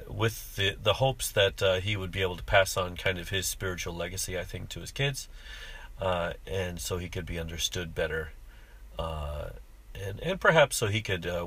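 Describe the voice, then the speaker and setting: Male podcast host, very low voice, stuffy-nosed, rambling aloud from behind the wheel. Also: a bit bright. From living in Japan. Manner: halting, many "uh" fillers and restarts. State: congested from allergies. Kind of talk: off-the-cuff monologue while driving. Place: in a car.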